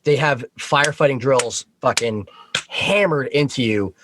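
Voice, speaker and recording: A man's voice: medium pitch, measured pace, background noise. A man chatting informally as a podcast guest, telling work stories and swearing casually. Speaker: podcast guest, Coast Guard boat operator.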